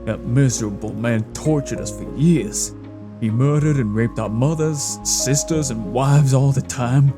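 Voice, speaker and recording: Comic-dub drama: male actor as bitter, blunt farm labourer, agitated, mid-pitched, clear music underneath. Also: measured pace, close to an everyday voice. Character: rough, bitter, vengeful male farm worker. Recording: voice over clear background music.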